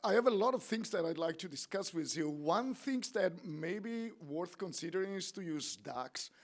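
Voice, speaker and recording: A man's voice: sits high, lively intonation, normal speed. A man at a conference discussion, thoughtful, with a few fillers.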